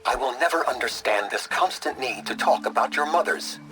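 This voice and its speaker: Male know-it-all game companion voiced by a man, dry, formal deadpan, medium register, music underneath. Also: mid-range voice, a little fast, natural voice. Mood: deadpan, aloof. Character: aloof, sardonic male know-it-all sage. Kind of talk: video game voice acting.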